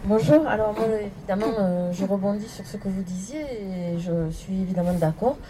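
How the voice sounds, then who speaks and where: A woman taking her turn in a public discussion, polite and measured. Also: medium pitch, noisy background. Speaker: participant in a public debate.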